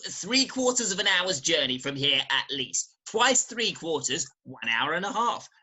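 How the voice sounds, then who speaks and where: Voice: medium pitch, pushed and bright, husky, heavily acted. An actor playing a breezy, scheming upper-class British youth, wheedling and cocky in a stage farce. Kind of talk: theatrical staged reading of Victorian farce. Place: home, recorded remotely.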